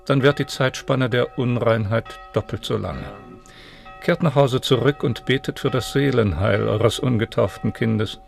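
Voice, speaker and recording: An actor playing a pompous, condescending medieval sacristan monk with formal speech in a radio drama. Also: male voice, medium pitch, gravelly.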